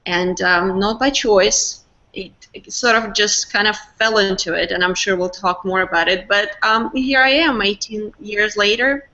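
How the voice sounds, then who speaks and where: A woman's voice: mid-range voice, fairly even intonation, a little slow, pushed and bright, dull audio. A woman around forty, raised in Russia, chatting upbeat as a guest on a video call. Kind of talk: casual video call chat.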